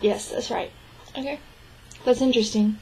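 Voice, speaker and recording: A woman gives a spoken interpretation of a signed interview. Her voice sits a little high and stays flat and level. She talks in an even, measured way and often breaks off and restarts. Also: husky, rough recording. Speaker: sign language interpreter voicing a deaf man's answers.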